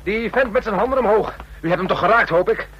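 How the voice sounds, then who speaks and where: An actor playing an irascible, excitable chauffeur in a radio drama, blunt, indignant and exclaiming. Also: male voice, high-pitched, quick pace, dull audio. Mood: agitated. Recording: noisy, humming old radio recording.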